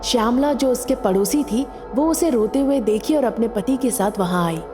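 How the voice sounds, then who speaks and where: A woman's voice: on the high side, lively intonation, normal speed, big-room sound, music in the background. A woman narrating a scripted story as a storyteller.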